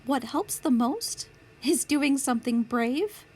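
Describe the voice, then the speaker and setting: Slow, high-voiced woman reading to children. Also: animated. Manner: deliberate, clearly phrased for young children. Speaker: storytime host reading a picture book aloud. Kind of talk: children's read-aloud narration.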